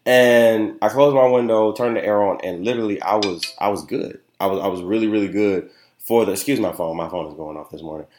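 A man's voice: on the low side, fairly even intonation, a little fast, a little mellow. Congested, rambling podcast guy. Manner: rambling and chatty, keeps asking "you know what I'm saying". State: nasal and congested. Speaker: podcast host. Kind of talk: casual solo podcast chat. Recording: roomy, echoey room sound.

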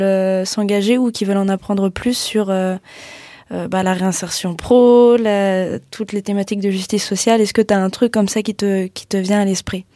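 A woman hosting a casual radio interview, soft-spoken and hesitant with many fillers. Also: mid-range voice, no room sound, studio quality. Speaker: radio host. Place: radio studio.

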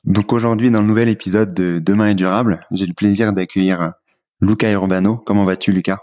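A man's voice: lowish voice, fairly even intonation, a little fast, a little mellow, no echo, highs cut off. A man hosting a podcast interview, hesitant and full of fillers when speaking off the cuff. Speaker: podcast host.

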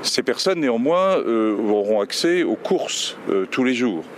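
A man giving a public briefing as an expert, explaining in a hesitant, halting way. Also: medium pitch, big pitch swings, noisy background.

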